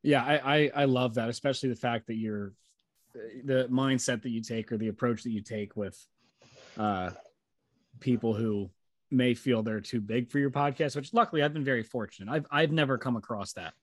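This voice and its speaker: A man in the host's seat, speaking at a middle pitch in a friendly, agreeable way. He often restarts his sentences, and his voice sounds dry and close, with no room echo. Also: normal speed. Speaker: podcast host. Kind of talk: casual interview chat.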